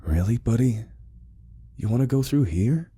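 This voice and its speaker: A man voicing an uneasy man who talks gently to his dog in a narrated horror story, hushed and hesitant. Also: mid-range voice, expressive, unhurried, husky, pitched above the performer's own voice. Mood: reluctant.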